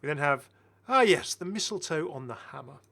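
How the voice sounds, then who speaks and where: A man's voice: a little high, animated, fairly quick, vocal fry, bright, forceful tone. A man giving casual, enthusiastic game commentary as a chatty, rambling video host.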